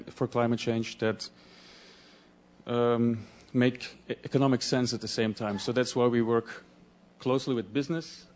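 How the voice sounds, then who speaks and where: A man from Belgium, a Dutch speaker, giving a conference presentation in a halting way, often restarting as he searches for words. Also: mid-range voice, darkish tone.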